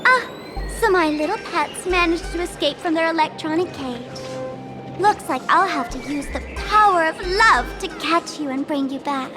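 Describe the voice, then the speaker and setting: A woman voicing a theatrical idol villainess in an anime-style game, sugary to her fans and scornful to foes, with mock-sweet, drawn-out taunts. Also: very high-pitched, over music. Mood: smug.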